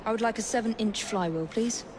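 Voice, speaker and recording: An actress playing a brisk, self-assured young Victorian woman in a video game, over noise. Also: a little high, natural voice. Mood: firm. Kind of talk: video game cutscene acting.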